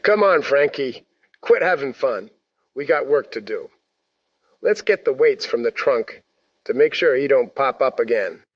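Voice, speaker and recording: A man's voice: mid-range voice, animated, unhurried, natural voice. A man playing a casually menacing, mock-polite working-class henchman in an old-time radio gangster drama. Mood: bossy. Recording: slightly muffled audio.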